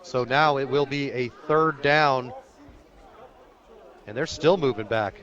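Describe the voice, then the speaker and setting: A man's voice: mid-range voice, takes it slowly, a bit bright, lo-fi sound, a faint crowd. An American man doing live radio play-by-play of a football game outdoors, describing the action as it unfolds. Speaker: play-by-play sports announcer.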